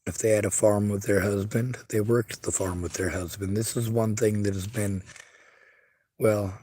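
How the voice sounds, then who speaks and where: A man's voice: lowish voice, steady tone, moderate pace, mellow, slight background noise. A man livestreaming, reading viewer chat and speaking bluntly and earnestly in a casual monologue. He has a stutter and a speech impediment.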